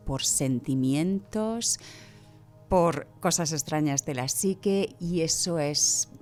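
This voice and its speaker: Woman guest, slightly low voice, explaining things in an interview, faint music. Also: darkish tone. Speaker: podcast guest. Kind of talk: conversational interview answers.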